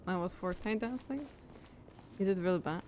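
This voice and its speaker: A woman's voice: on the low side, normal speed, a little mellow, a little hiss or hum. A woman streaming a game, talking to herself in a loose, joking, casual way with some swearing. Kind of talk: casual livestream gaming commentary.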